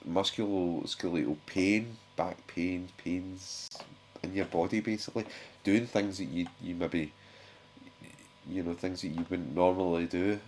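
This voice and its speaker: Deep-voiced man with a sore throat. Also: a little hiss or hum. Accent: British. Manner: chatty, halting, many fillers and restarts. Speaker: YouTube channel host. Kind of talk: casual talk to camera.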